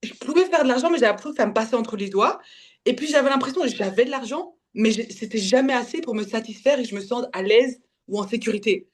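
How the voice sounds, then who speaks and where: A woman in her twenties from Belgium, giving a casual personal account, often correcting herself and slipping in English words. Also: fairly high pitch. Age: 20s. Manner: halting, self-correcting, slips in English words. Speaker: guest, women's spiritual development guide. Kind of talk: casual personal testimony in conversation.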